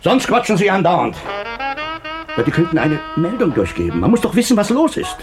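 A man's voice: sits high, wildly swooping pitch, a little fast, music in the background. An actor playing an anxious, irritable, stern older father in a 1960s radio drama. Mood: agitated, impatient. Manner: agitated, commanding, nagging.

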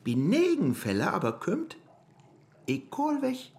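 Timid puzzled bystander, slow but swoopy delivery, low german accent, comic radio play. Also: wildly swooping pitch, creaky, noisy background, heavily acted. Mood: pondering. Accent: Low German, northern Germany.

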